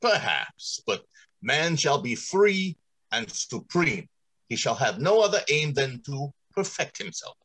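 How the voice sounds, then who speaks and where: Radio drama factory boss, male actor: genial idealist, declamatory, husky, very lively intonation. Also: mid-range voice, a little slow, heavily acted, rough recording. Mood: visionary. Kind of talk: old-time radio drama acting.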